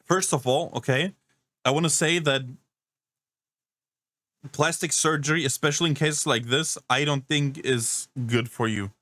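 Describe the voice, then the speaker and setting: A man's voice: fairly high pitch, unhurried, pauses often, sharp tone, no room sound. Incredulous swearing man, dry close sound. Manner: incredulous, swears a lot, rambling. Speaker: reaction streamer. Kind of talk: casual reaction commentary.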